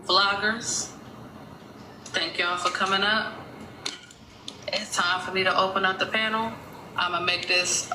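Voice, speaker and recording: A woman's voice: medium pitch, fairly even intonation, a little slow, long pauses. A woman hosting a mock courtroom on a live stream, calling up jurors and keeping order. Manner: directive, runs the proceedings. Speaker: mock court judge, host. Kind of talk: casual livestream hosting. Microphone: phone on live stream. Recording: echoey room with noise.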